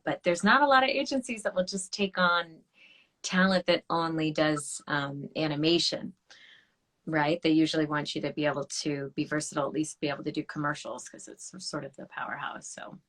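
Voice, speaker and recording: A woman's voice: sits low, fairly bright tone. A woman hosting a podcast interview, chatting casually and asking follow-up questions. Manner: conversational, asks follow-up questions. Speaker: podcast host.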